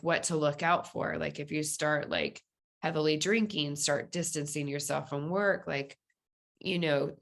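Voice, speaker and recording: A woman with a low voice speaks as a guest in a casual interview. She explains her views in a candid, informal way, as in everyday conversation. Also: a bit bright. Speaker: podcast guest, police dispatcher.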